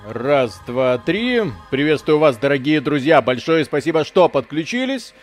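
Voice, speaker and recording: A man's voice: fairly high pitch, very animated, normal speed, faint music behind. A man hosting a live video game stream, chatting casually in slangy, joking language with mild expletives.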